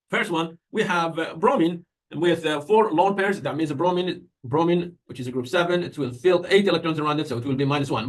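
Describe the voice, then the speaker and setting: A man's voice: on the high side, a bit bright. A man with a Persian accent, a native Persian speaker, giving an informal university lecture in a conversational way, putting questions to students and answering them.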